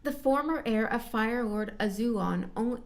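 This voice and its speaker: A woman reading scripted narration as an amateur voice actor, halting and stumbling over words as she does retakes. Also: fairly high pitch.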